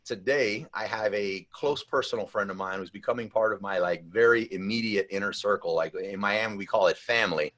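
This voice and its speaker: A man with a fairly low voice hosts a casual podcast. He talks loosely and rambles, doubling back often and filling gaps with "like." He sounds relaxed and conversational. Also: a little fast, few pauses. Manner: loose and rambling, with many restarts and "like". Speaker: podcast host.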